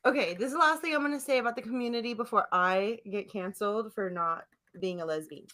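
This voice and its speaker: A woman's voice: on the high side, fast, bright, forceful tone. A woman co-hosting a podcast in a casual chat, talking in a rambling, teasing way. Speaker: podcast co-host.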